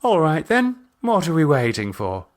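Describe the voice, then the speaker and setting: Audiobook role: male reader as arch aristocratic female cult leader, refined, self-satisfied, very varied pitch. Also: huge pitch swings, normal speed. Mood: playful, impatient. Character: poised, haughty aristocratic woman, playful cult leader. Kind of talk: audiobook character acting.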